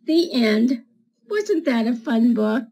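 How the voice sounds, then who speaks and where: Older lady in her 70s reading a kids book, high voice, real slow and mellow. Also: sing-song. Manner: deliberate, explaining pictures with gentle questions to children. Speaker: read-aloud storyteller for schoolchildren. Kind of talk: children's picture book read-aloud. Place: small home room with bookshelves, indoors. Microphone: webcam at desk distance.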